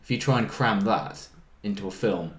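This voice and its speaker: A man's voice: fairly low pitch, normal speed. A man co-hosting a podcast in casual discussion, giving his opinions and rephrasing his points as he goes. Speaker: podcast co-host. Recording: echoey room with background noise.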